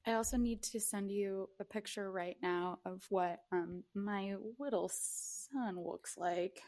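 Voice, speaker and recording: A woman's voice: medium pitch, slow pace, darkish tone, no echo. Effusive woman podcaster, dry close sound. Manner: effusive, emphatic, repeats phrases. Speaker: podcast co-host. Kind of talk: casual, gushing film chat.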